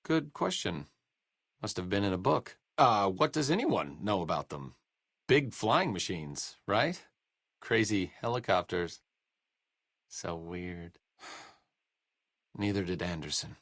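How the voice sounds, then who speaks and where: A dry, sarcastic wasteland doctor. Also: male voice, low-pitched, lively intonation, slow pace, vocal fry, pauses often, a bit bright, no room sound, exaggerated voice. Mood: sardonic. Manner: dry, sarcastic, self-deprecating wit. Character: sardonic bookish male wasteland doctor, adult. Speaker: game companion character. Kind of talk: video game voice acting.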